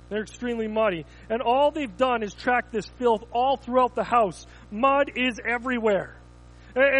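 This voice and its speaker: High-voiced man preaching a story sermon. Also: a bit bright, poor audio. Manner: storytelling, with frequent stumbling restarts. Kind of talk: sermon with illustrative stories.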